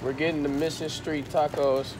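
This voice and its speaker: A man's voice: on the high side, flat delivery, moderate pace, background noise. A young man vlogging while grocery shopping, joking to a phone held at arm's length in a large supermarket. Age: young adult. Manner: playful, clowning, sing-song repeats. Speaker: vlogger filming the shopping trip. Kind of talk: casual joking chat to camera.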